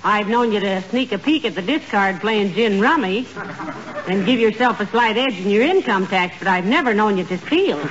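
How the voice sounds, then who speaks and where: A woman playing a calm, motherly wife who teases and gently scolds in a 1940s radio comedy. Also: mid-range voice, normal speed, a little hiss or hum, natural voice. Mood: patient. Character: patient, wry, motherly wife. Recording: muffled 1940s radio broadcast.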